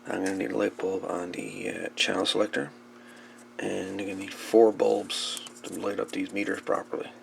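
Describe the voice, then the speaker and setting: A man with a gravelly voice giving a casual, folksy hands-on demonstration as a hobbyist repairer. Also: low-pitched, level tone, moderate pace, a little hiss or hum. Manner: informal, folksy, calls the radio "her". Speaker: radio repair hobbyist presenting a how-to video.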